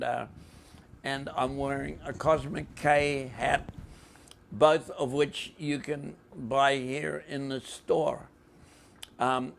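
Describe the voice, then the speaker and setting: A man with a New Zealand accent hosts an informal show. His voice is slightly high and quite creaky, and he speaks very slowly, in a halting, deliberate way. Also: slight background noise. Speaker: podcast host.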